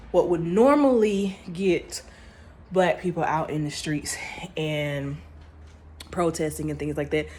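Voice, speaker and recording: A woman's voice: sits low, a little hiss or hum. A woman talking straight to her viewers in a casual, emphatic commentary, speaking informally and restarting her phrases as she goes. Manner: informal speech with dropped grammar. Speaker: vlogger.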